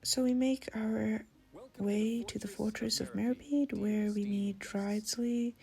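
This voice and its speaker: A woman's voice: fairly high pitch, steady tone, slow pace, gravelly, dark tone, soft background music. A woman narrating a casual recap of a video game story.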